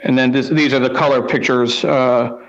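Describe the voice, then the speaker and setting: A man hosting a casual live show, reading things out in short, halting phrases with pauses between them. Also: medium pitch, fairly even intonation, dull audio. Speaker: host of a live newspaper read-along.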